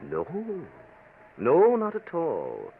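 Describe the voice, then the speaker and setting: An actor playing a cold, calculating gambler in a vintage radio drama, theatrical and smoothly manipulative. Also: male voice, medium pitch, huge pitch swings, drawn-out words, narrow, tinny audio, full character voice. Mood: smooth, feigned sympathy. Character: cold, calculating gambler narrating his own sinister climb. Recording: noisy, band-limited vintage radio recording.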